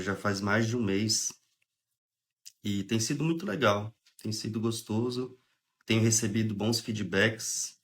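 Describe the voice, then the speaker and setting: A young man with a Brazilian accent and a cold, clearing his throat now and then, hosting a relaxed spiritist study livestream, reading aloud and explaining in a chatty way. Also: lowish voice. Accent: Brazilian Portuguese. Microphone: phone or webcam on a livestream.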